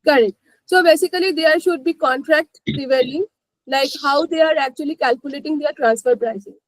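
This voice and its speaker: Webinar instructor: Indian-accented woman with a high-pitched voice, explaining conversationally, recorded dry. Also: brisk, dry recording, poor audio. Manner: repeats 'you know, like' and checks understanding. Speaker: presenter of a finance webinar. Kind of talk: live explanatory lesson.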